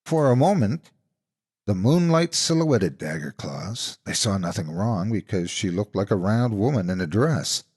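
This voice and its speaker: A man narrating a horror folktale in an expressive, suspenseful, scripted storytelling style. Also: lowish voice, measured pace, slightly rough.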